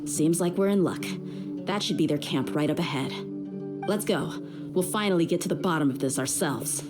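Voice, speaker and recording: A woman's voice: sits low, normal speed, over music, close to an everyday voice. A woman acting a tough, impatient young desert mercenary in a video game, with a blunt, commanding manner. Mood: confident, commanding.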